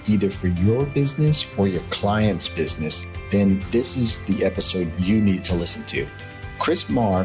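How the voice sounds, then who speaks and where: Low-voiced New York podcast host. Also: male voice. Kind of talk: podcast interview.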